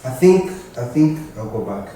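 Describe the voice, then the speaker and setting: A man's voice: medium pitch, very animated, normal speed, warm tone, natural voice. A man playing a laid-back, slightly unsure young student chatting casually in an online drama. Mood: relaxed, friendly. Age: young adult. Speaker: actor in an online drama series. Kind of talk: casual, hesitant acted dialogue. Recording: echoey room, distant mic, clear background noise.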